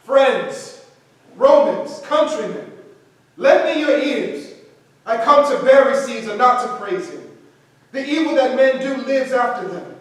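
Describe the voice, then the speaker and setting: Struggling male actor, early thirties man performing, earnest, guarded theatre delivery, high pitch, heavy room echo. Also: lively intonation, unhurried. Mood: declamatory. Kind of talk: staged reading acting. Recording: very echoey room, distant mic.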